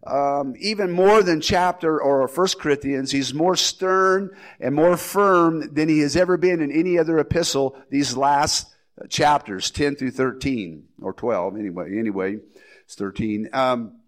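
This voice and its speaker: A man's voice: fairly high pitch, a little slow. A man with a slight cold preaching a casual, rambling verse-by-verse sermon as pastor. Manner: rambling, folksy, with restarts and asides. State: has a head cold.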